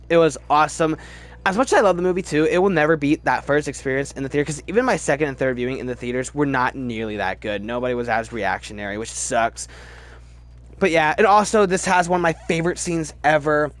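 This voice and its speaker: Higher-voiced man gushing over films. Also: no echo. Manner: gushing fan enthusiasm, repeats words for emphasis. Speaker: video host ranking films. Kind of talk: casual opinionated commentary.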